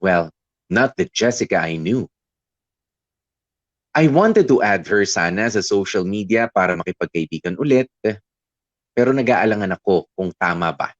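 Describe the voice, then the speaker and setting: A man's voice: lowish voice, expressive, moderate pace, pauses often, no room sound. A man narrating a first-person letter on radio in an earnest, confiding way. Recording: dry dull radio studio sound.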